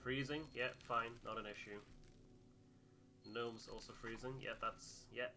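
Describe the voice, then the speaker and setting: A man gives casual playthrough commentary as a gaming host. His voice is strikingly bright and crisp in edge, and he mutters reactions as he plays. There is faint noise in the background. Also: mid-range voice, steady tone, unhurried.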